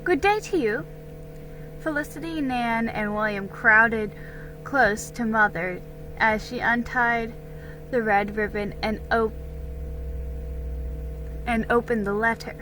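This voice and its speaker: A woman giving an amateur dramatic storybook reading, deliberate and a little halting, with stumbles. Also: on the high side, steady tone, bright, forceful tone, slight background noise, poor audio.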